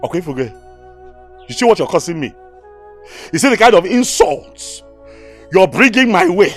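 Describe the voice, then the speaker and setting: A man's voice: high voice, very animated, moderate pace, faint music behind. A man playing a frustrated village husband who complains in exasperation. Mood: exasperated.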